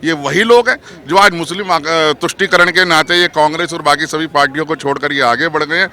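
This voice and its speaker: A man's voice: fairly high pitch, fairly quick, pushed and bright. A man giving argumentative political opinion in an interview, emphatic and rhetorical, mixing in English terms.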